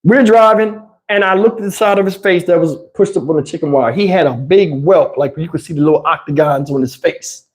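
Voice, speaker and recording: A man telling personal stories in a casual, animated, slangy way, swearing freely and acting out what people said. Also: on the high side, fairly quick, hardly any pauses, rough recording. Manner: acts out dialogue and sound effects. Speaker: storyteller host. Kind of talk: animated casual personal storytelling with acted quotes.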